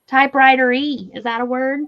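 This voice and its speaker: Southern woman host, high-pitched, chatty and giggly, slightly dull lo-fi sound. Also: fairly even intonation, brisk. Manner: chatty, laughing, reads viewer comments aloud. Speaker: crochet livestream host. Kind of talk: casual livestream chat.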